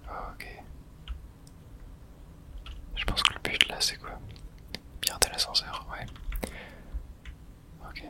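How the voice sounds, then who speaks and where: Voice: slow, pauses often. Whispered French-language ASMR gaming commentary. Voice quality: breathy unvoiced whisper. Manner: casual, thinking aloud in short remarks. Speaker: video game streamer playing and commenting.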